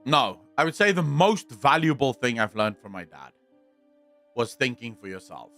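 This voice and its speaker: A young man with a South African accent chatting on a livestream, answering viewers in a deliberate, blunt way, repeating his points and swearing now and then. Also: mid-range voice, big pitch swings, a bit bright, soft background music. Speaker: streamer.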